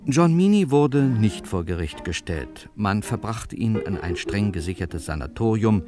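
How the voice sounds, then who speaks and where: An actor playing the narrator of a detective radio play, telling the story in a measured, dryly ironic way. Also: male voice, on the low side, animated. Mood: wry. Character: male narrator wryly closing a detective case. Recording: loud music under the voice.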